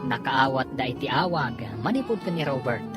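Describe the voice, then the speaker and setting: A woman narrator announcing and telling a radio drama in a measured, drawn-out, theatrical scripted style. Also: very deep voice, animated. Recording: prominent music bed under the voice. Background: music.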